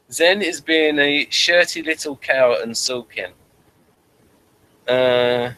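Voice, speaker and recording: A man co-hosting a casual, unscripted review chat, repeating words and trailing off mid-thought in a halting way. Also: a little high, bright, forceful tone, poor audio. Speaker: podcast co-host reviewing a TV series.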